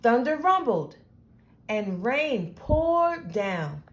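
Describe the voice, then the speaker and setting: A woman reading a picture book aloud to children as host, with deliberate, dramatic phrasing. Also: a little high, sing-song, highs cut off.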